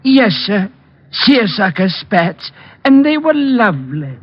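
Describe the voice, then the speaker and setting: A man playing a fussy, eager, high-voiced servant with a precious, deferential manner in a 1940s radio comedy. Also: big pitch swings, slow, noisy background, full character voice. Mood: prissy delight. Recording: muffled old radio recording.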